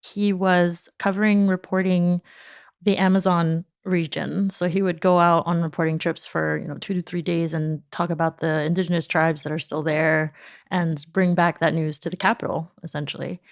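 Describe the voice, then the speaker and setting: A woman from New York, a native Spanish speaker, answering interview questions with animated storytelling and frequent laughter. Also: lowish voice, moderate pace, gravelly, no echo, clean studio sound. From New York, United States. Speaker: podcast company founder, guest.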